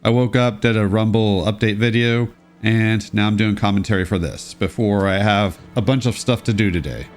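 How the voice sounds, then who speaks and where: A man's voice: lowish voice, normal speed, hardly any pauses, soft background music. A congested young man giving casual, sarcastic gameplay commentary as a let's play host.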